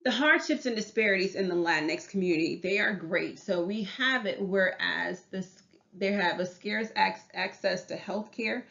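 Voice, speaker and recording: A Southern-accented woman teacher talks through her class presentation in an earnest, restarting way, her voice slightly gravelly at mid pitch. The recording sounds dull and lo-fi. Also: normal speed, a bit bright. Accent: Southern US English. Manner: earnest, repeats and restarts phrases.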